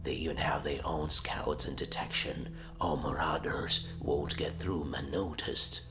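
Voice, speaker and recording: A man voicing a sinister, calculating orc warlock in a gravelly whispery rasp, briefing slowly in a game cutscene. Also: very deep voice, fairly even intonation. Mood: grave scheming. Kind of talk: video game cutscene acting.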